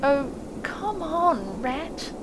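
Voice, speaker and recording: A woman's voice: high voice, big pitch swings, extremely slow, voice pushed up, heavily acted. A woman voicing a small male mole, plaintive and grumbling, in a storybook reading. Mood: impatient. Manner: plaintive, whining. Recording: clearly noisy, sound effects behind.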